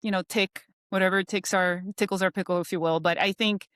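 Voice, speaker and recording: A woman guest chatting casually in an interview, talking freely and filling pauses with "you know". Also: mid-range voice, fairly even intonation, a bit bright, clean tone, no echo, studio quality. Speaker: guest, insurance professional.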